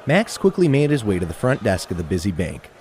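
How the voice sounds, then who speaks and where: A man's voice: lowish voice, sing-song, a little fast, a little mellow, slight background noise. A man narrating a scripted adventure story in a theatrical storytelling manner.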